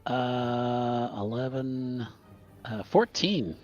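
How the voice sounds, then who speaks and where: A man's voice: mid-range voice, extremely slow, a little mellow, lo-fi sound. A man playing a tabletop roleplaying game, talking casually and a little tentatively as he describes his character's actions. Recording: music playing behind. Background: background music.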